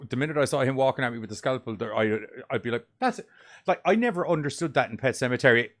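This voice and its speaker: A man chatting casually on a horror podcast. His pitch sits in the middle range. He rambles, swears freely and tells animated stories. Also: quick pace. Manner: rambling, profane, animated storytelling. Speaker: podcast co-host. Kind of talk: casual group chat.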